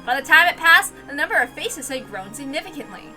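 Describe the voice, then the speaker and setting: A woman's voice: high voice, big pitch swings, quick pace, over loud music. A woman reading a visual novel aloud, moving between casual chat and story narration.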